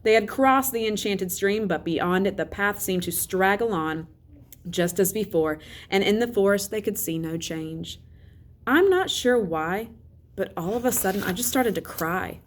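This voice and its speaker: A woman's voice: medium pitch, animated, measured pace, slight background noise. A woman teacher reading a children's novel aloud as a narrator. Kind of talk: storybook read-aloud narration.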